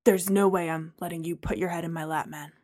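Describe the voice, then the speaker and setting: A woman's voice: lowish voice, fairly even intonation, brisk, darkish tone, voice pushed down, lightly acted. A woman voicing a huffy, jealous young man who speaks curtly. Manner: huffy, curt.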